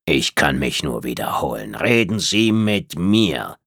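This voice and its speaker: A man voicing a cold, formal female reptilian housekeeper who speaks slowly and condescendingly. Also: very deep voice, big pitch swings, creaky, fairly bright tone, breathy edge, dry recording, clean studio sound, full character voice. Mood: curt. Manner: slow, icy, condescending.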